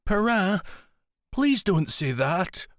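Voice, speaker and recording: A man's voice: high voice, big pitch swings, slow, a bit bright, no echo, studio quality, voice pushed up, full character voice. A man voicing an anxious young woman whose voice cracks with emotion. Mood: tearful.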